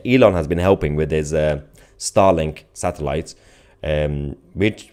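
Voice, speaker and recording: Very deep-voiced man hosting a live stream. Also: dark tone. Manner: chuckling, informal, off-the-cuff. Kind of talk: casual live commentary, reading viewer comments.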